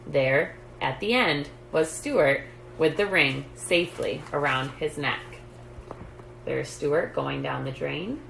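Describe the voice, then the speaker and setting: A woman hosting story time, reading a children's book aloud in an expressive, deliberate, emphatic way. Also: low voice, vocal fry. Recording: roomy echo, background noise.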